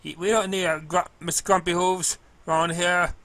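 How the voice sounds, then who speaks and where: Casting: male reader as kindly, scatterbrained cartoon pony mailmare, high-pitched, forceful, bumbling and bubbly. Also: flat delivery, no room sound. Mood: cheerful, playful. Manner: bubbly, drawn-out words, bumbling. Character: cheerful, scatterbrained, kindly female pegasus pony mailcarrier.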